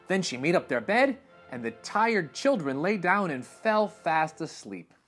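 Young guy reading a kids story aloud, super lively, kinda husky, faint music behind. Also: high voice, a little slow. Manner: animated and expressive, dramatic swings in tone. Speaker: read-aloud host. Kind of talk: storybook read-aloud narration. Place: indoor living room with sofa.

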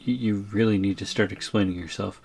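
A man's voice: fairly low pitch, steady tone, brisk, vocal fry, a little mellow, husky. A man playing a bewildered, exasperated shrunken student in an audio roleplay, stammering and incredulous. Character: bewildered, exasperated shrunken male student, three inches tall.

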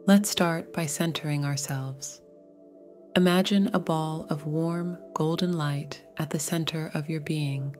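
Low-pitched woman guiding a meditation. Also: fairly even intonation, faint music behind. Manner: soothing, measured, gentle delivery. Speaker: meditation guide. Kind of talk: scripted guided meditation narration.

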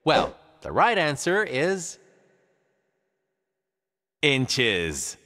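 A man voicing an upbeat cartoon quiz show host in a video game, with a theatrical, drawn-out announcer delivery. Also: medium pitch, huge pitch swings, sharp tone, heavily acted. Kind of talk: scripted game show hosting. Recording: reverberant studio with crowd noise.

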